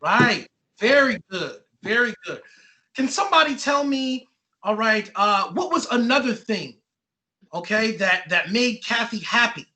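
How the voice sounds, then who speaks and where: A high-pitched, bright voice of an author leading a children's read-aloud. The pitch swings in a lively way through questions and repeated answers. The delivery is encouraging and interactive, full of little check-ins. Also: measured pace, low-quality recording. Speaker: author reading own children's book and quizzing children.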